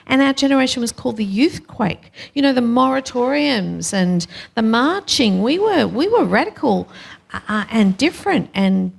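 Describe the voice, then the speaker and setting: A woman's voice: a little high, big pitch swings, normal speed, vocal fry, husky. A woman in her seventies on a panel, speaking emphatically about her generation. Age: 70s. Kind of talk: panel discussion.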